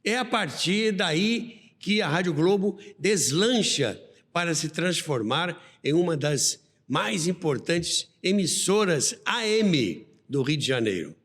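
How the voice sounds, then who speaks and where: A Brazilian man narrates radio history in a slow, lively voice that rises and falls widely. He moves between scripted storytelling and asides to the listener, and a long reverb trails his words. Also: on the high side, slight background noise. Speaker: podcast host and narrator. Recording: long reverberant hall sound.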